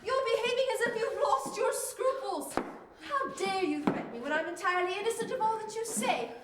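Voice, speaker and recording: A woman's voice: sits high, sing-song, a little fast, distant mic. A woman with an English accent playing a polite but easily affronted fiancée in a drama, with a formal, prim manner. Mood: indignant. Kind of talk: dramatic acting. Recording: echoey room, off mic, noisy.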